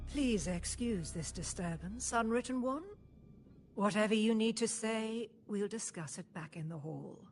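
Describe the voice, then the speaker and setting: An actress playing a stern, composed high priestess in a video game, speaking in formal, measured, deliberate phrases. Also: female voice, expressive, darkish tone. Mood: courteous. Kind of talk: scripted video game voice acting. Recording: game music under the voice. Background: music.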